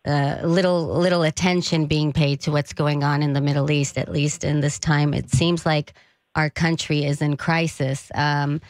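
A woman's voice: low-pitched, steady tone, moderate pace, no echo. A woman hosting a talk radio interview in a small studio, speaking conversationally with loose, searching phrasing. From United States. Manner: conversational with many fillers and restarts. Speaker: radio host. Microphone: studio mic with headphones.

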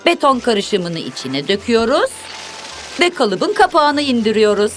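Female children's cartoon narrator, highish voice, very animated intonation, expressive storytelling over music. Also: a little slow. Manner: expressive, simple instructive phrasing for young children. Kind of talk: scripted children's story narration, addressing characters and viewers.

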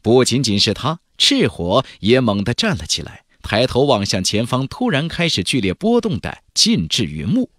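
A man's voice: mid-range voice, wildly swooping pitch, normal speed, few pauses, fairly bright tone, dry recording, studio quality. A man narrating a fantasy novel as a dramatic scripted audiobook.

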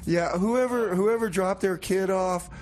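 A man's voice: high voice, measured pace, a little mellow, slight background noise. A man hosting a casual comedic radio show in a folksy, rambling way, often repeating himself. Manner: folksy, rambling, hesitant repetitions.

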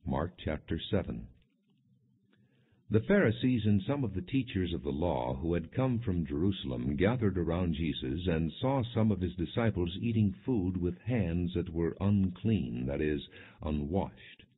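Deep husky male Bible narrator, muffled recording. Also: expressive, unhurried. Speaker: audiobook narrator. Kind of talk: scripted narration, non-dramatized Bible reading. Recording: muffled, narrow-band lo-fi audio.

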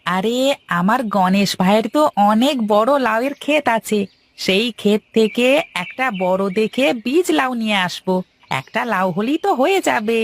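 A woman's voice: a little high, lively intonation, normal speed, a little hiss or hum. A woman voicing a poor, naive young male farmer in a cartoon fairytale, earnest and dreamy. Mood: dreamy, hopeful. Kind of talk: animated moral fairytale dialogue. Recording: faint music behind the voice.

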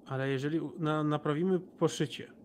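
A man's voice: a little high, steady tone, brisk, slight background noise. A man playing a tabletop role-playing game, casually discussing plans with other players.

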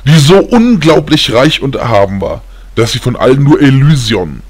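A man voicing a caring, weary old grandfather in an audiobook, gentle and measured in his telling. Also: mid-range voice, very animated, breathy edge. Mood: solemn storytelling. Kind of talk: audiobook character acting.

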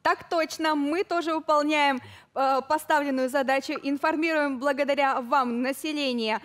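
A woman's voice: high voice, steady tone, moderate pace, fairly bright tone. A woman hosting a live morning show interview in an effusive, eager, conversational way. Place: TV studio.

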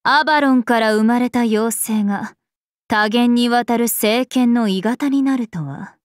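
Slow, imperious princess spirit, acted by a woman. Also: a little high, no room sound, clean studio sound, natural voice. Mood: aloof, mildly chiding. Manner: imperious, archaic, composed, aloof. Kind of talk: Japanese mobile game character voice lines.

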